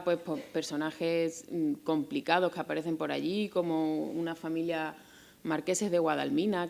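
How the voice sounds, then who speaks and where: Lower-pitched Spanish woman presenting a book. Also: creaky. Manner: halting, reflective, with repeated words and searching pauses. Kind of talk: semi-improvised public talk about a book, reading short excerpts.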